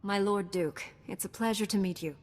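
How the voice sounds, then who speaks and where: A woman playing a calm, resolute young knight in a video game, composed, courteous and determined. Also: medium pitch, normal speed, lightly acted. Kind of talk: cinematic video game hero acting. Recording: long hall reverb, music behind.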